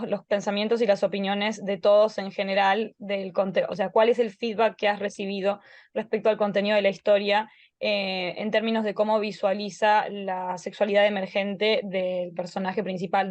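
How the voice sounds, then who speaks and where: A woman moderator speaks on a video call in a dry, close sound at a middling pitch. She is friendly but halting, filling her pauses and restarting her sentences. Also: little pitch movement, a little mellow, no room sound, lo-fi sound. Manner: friendly, hesitant with fillers and restarts. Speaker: youth jury coordinator. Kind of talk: moderating questions, bilingual.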